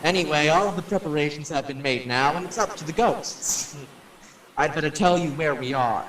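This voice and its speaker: An echoey, slightly distant recording of a man playing a showy radio personality in a radio play. He pitches his voice a bit high and delivers jokey ghost-hunter patter like a showman on the air. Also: normal speed, fairly bright tone, close to an everyday voice. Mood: jokey showmanship. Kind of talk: radio drama acting, on-air announcer patter. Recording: echoey, distant, faint background noise.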